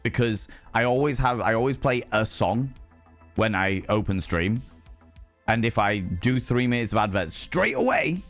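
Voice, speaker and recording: A man with a hoarse, worn-out voice sits at his stream and banters with his chat, throwing out showy, teasing greetings. His voice sits on the low side, with faint music under him. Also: animated, moderate pace, a bit bright. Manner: playful, theatrical greetings to chat. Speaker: livestream host. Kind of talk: casual chat with viewers.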